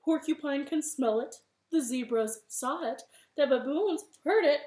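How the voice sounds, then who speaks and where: A woman voicing a big rhino, proclaiming in a loud, emphatic storybook voice in an echoey room. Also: high voice, unhurried, pitched above the performer's own voice. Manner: loud, climbing emphatic delivery. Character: big booming rhino announcing rain.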